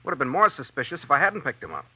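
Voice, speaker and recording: Shifty 1940s radio crook, defensive, rapid talker, really piercing voice. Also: male voice, a little high, wildly swooping pitch, bright, forceful tone, husky, dry recording, heavily acted. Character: nervy, greedy male traitor, evasive working man. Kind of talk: 1940s radio drama acting.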